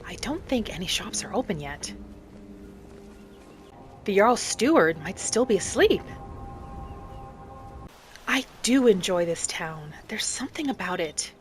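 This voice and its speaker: A woman voicing a gentle, hopeful young wood elf adventurer, narrating her journey softly and without hurry over background music. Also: lowish voice. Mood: calm, then wistful. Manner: soft, unhurried, dreamy, earnest. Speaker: roleplay series host. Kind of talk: in-character scripted narration, storytelling. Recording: music behind the voice.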